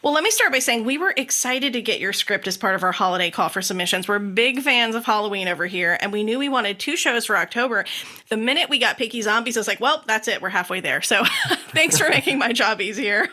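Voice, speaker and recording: A woman from the United States hosting a podcast, with an upbeat, animated way of talking. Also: fairly high pitch, fast talker. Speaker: podcast host. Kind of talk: scripted introduction and chatty interview.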